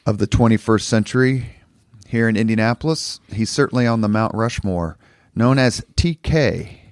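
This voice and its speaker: A man with a fairly low voice works as a podcast host, moving between prepared introduction and interview questions. The recording is very dry, with hardly any room sound around him. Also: normal speed, clean tone, no room sound.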